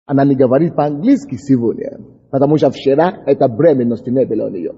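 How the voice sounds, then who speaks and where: A male pastor whose first language is English, preaching a fiery sermon with impassioned, shouted prayers and frequent stumbles over words. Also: mid-range voice, fast, breathy edge. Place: church hall. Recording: dull recording in a large echoing hall.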